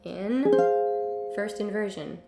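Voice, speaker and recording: A young woman teaching a casual music lesson at home, hoarse from illness, chatty with many ums and the odd cough. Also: medium pitch, slow, echoey room. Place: home room with piano and guitars.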